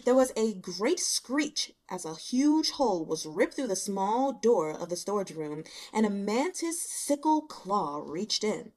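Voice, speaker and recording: A woman reading fiction aloud as an audiobook narrator, in an expressive storytelling style. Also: mid-range voice, a little slow, few pauses. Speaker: audiobook reader.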